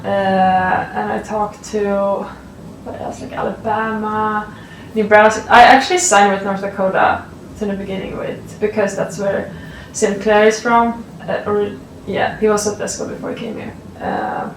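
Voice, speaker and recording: A woman in her twenties from Sweden with a Swedish accent, giving relaxed interview answers full of fillers as a college athlete. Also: mid-range voice, recorded from a distance, slight background noise. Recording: roomy, off-mic, faint ambience.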